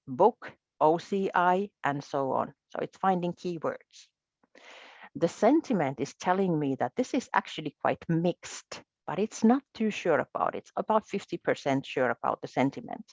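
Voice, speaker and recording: A woman from Finland, a Finnish native speaker, explains software features step by step in a low voice. Her delivery is methodical, with small restarts as she points things out. The sound is dry and a little dull, like a webcast recording. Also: animated, a little slow, no echo. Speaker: presenter. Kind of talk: software demo walkthrough.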